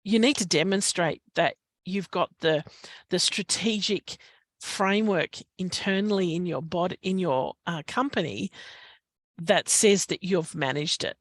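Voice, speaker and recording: An Australian woman giving advice in an online Q&A, hesitant with many restarts, her voice going hoarse. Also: on the low side, a little slow, no room sound. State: losing her voice, coughing. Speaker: guest speaker on climate reporting. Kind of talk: online webinar Q&A.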